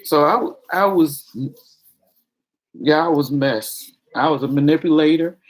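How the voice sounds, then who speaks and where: Frank woman with a deep, projecting voice. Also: measured pace, poor audio. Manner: frank and confessional, addresses viewers as y'all. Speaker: vlogger. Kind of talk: candid casual talk to viewers.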